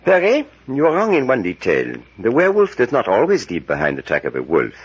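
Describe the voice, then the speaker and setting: An actor playing a grave, scholarly European professor in a 1940s radio serial, deliberate and building to theatrical intensity. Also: male voice, mid-range voice, wildly swooping pitch, slightly rough. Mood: scholarly, ominous. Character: grave elderly male European professor, steeped in dark lore. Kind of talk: 1940s radio acting, dramatic storytelling. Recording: muffled vintage 1940s radio recording.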